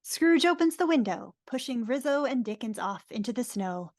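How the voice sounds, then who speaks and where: A woman's voice: fairly high pitch, expressive, moderate pace, no room sound, clean studio sound. A woman narrating stage directions for a live dramatic reading in a wry, informal way.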